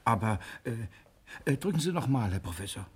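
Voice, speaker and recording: A cunning male convict in a 1960 radio crime drama. His voice is husky and sits at a medium pitch. He is courteous and crafty. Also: sing-song, moderate pace. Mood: feigned confusion, polite. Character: cunning male prisoner feigning a dazed amnesiac, smooth-talking.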